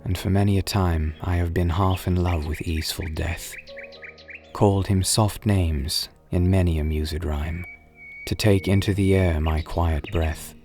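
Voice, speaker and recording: Deep voiced guy reading old poetry real slow, solemn and wistful, music and birds behind him. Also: steady tone, darkish tone. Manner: solemn, measured, wistful delivery with drawn-out phrases. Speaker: poetry reader and narrator.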